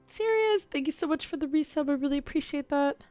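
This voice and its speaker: A woman's voice: sits high, animated, fast talker, a little mellow. A young woman chatting casually to viewers on a livestream while she plays, slangy and bubbly, drifting into rambling asides. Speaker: streamer playing a game live. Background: game music.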